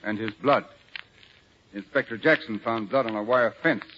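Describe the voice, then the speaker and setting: An actor playing a shrewd, confident law official laying out facts in an assured, matter-of-fact 1940s radio manner. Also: male voice, mid-range voice, moderate pace, close to an everyday voice. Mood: confident, businesslike. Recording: dull, noisy 1940s radio recording.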